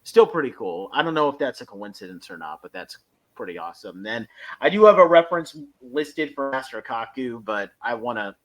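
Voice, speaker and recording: A man hosting a casual fan discussion, speaking in halting stretches with emphatic bursts. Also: medium pitch, lively intonation, poor audio. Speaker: podcast host reviewing a fan audio drama.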